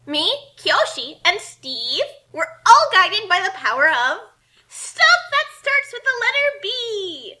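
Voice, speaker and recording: A woman's voice: extremely high pitch, sing-song, slow, sharp tone, pitched above the performer's own voice, full character voice. A woman voicing a confident, dramatic young heroine who boasts and over-explains in a comic fan fiction reading. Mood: boastful, dramatic.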